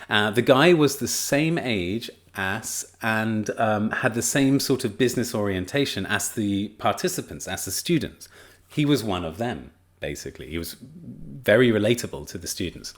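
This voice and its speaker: Chatty lower-voiced man explaining a study. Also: animated, normal speed. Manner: chatty, enthusiastic, frequent fillers and restarts. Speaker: teacher trainer presenting a study. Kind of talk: casual spoken lecture.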